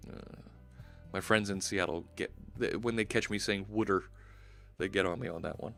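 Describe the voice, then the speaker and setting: A man's voice: low voice, steady tone, moderate pace, vocal fry, fairly bright tone, soft background music. A man in his forties with a Philadelphia accent, hosting a casual coding live stream through a headset and thinking aloud with lots of fillers. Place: home studio, indoors.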